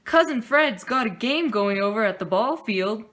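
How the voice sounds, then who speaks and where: Woman as an eager, high-voiced boy bear cub. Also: expressive, moderate pace, a bit bright. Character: casual young boy bear cub in a children's storybook.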